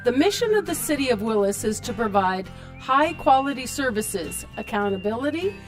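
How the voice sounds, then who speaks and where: A woman's voice: fairly high pitch, big pitch swings, normal speed, poor audio. An American woman, a city official, reading a formal scripted radio announcement. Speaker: city animal control officer. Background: music bed.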